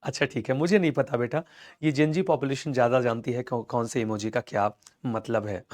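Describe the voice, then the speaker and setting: A man with an Indian accent and a slightly hoarse throat, teaching a live online history class and reassuring his students as he goes. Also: mid-range voice, steady tone, fairly quick, darkish tone. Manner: reassuring, calls students "beta", mixes English into Hindi.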